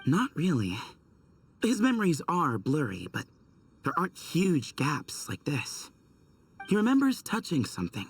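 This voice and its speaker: A man's voice: fairly high pitch, huge pitch swings, unhurried, husky, no room sound, recorded from a distance, heavily acted. A man playing a confident, easygoing young temple leader in a video game, casual and assured as he explains. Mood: thoughtful.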